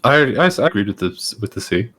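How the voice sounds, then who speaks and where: A man with a low voice chats on a podcast panel. His delivery is casual, blunt and offhand. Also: expressive, a little fast, a bit bright. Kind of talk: casual chat.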